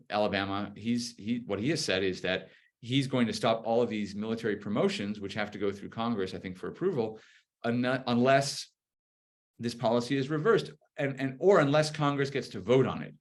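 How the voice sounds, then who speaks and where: A man giving conversational political commentary as a guest speaker, articulate and explanatory, arguing point by point. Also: medium pitch.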